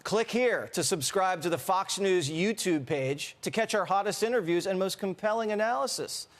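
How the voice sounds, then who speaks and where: High-pitched man reading a promo. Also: expressive, moderate pace. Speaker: channel promo announcer. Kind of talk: scripted promotional voice-over.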